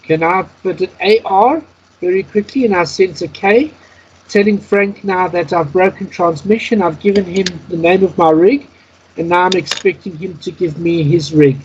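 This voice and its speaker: A man's voice: high voice, normal speed, darkish tone. Male instructor on a muffled, noisy internet call, methodical word-by-word delivery. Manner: instructional, announcing each item word by word. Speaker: Morse code practice instructor. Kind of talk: step-by-step spoken instruction. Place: Skype call. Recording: dull lo-fi internet call, clear noise.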